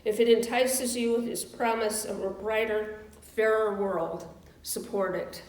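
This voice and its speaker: A woman speaks at a church service, reading in a measured, scripted style. Her medium-pitched voice comes from some distance off the microphone, with strong room echo. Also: fairly even intonation, darkish tone.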